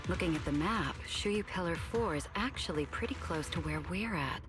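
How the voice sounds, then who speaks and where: A woman's voice: fairly low pitch, natural voice. An actress voicing a composed female leader directing a team in a game dub. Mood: calm. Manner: calm, measured, in charge. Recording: game audio over loud music.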